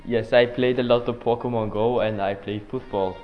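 A man's voice: medium pitch, fairly even intonation, moderate pace, dull audio, faint music behind. Laid-back teenage boy rambling jokes. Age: teens. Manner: laid-back, rambling joke answers with fillers. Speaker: guest. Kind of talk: joking student podcast interview.

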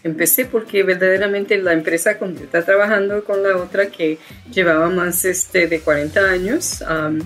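A woman with a low voice and an American accent talks as an interview guest, pausing often on fillers and restarting her phrases. Soft music plays faintly behind her. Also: steady tone. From United States. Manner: hesitant, many fillers and restarts. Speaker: guest, education founder. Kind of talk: interview conversation.

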